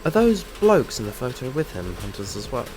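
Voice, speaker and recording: A man playing a nosy, cheeky monster hunter in an audio drama, talking in an animated, teasing way. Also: medium pitch, moderate pace, slight background noise, natural voice. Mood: excited.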